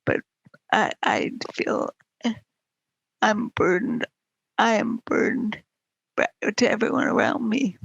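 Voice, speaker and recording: A woman speaking frankly in a group conversation in a weakening, often whispered voice, halting and breathy with long pauses. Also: medium pitch, very animated, dry recording, lo-fi sound. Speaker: host of a conversation series.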